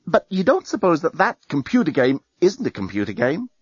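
A man voicing an excitable, sharp-tongued woman in a sci-fi audiobook, full of retorts and exclamations. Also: a little high, a little fast, husky, no echo, lo-fi sound, pitched above the performer's own voice, heavily acted. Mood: testy.

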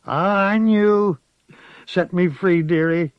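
A man's voice: sits high, takes it slowly, clear, resonant tone, dry recording, lo-fi sound, voice pushed up. A man voicing a quavering, frightened old witch. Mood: pleading, frightened. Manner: pleading, quavering.

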